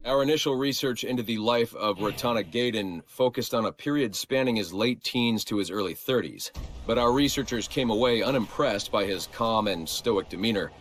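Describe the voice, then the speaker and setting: A smug corporate researcher, played by a man, narrates a formal report in a fairly low voice. He is measured, prim and quietly disapproving, in video game style. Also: lightly acted. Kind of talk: scripted narration.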